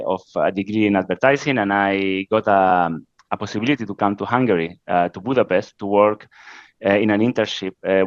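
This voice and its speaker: Spanish-accented man, halting and low. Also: smooth, dry recording, dull audio. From Spain. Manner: halting, frequent "uh" fillers, non-native grammar. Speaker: interview guest, creative director. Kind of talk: casual interview answers.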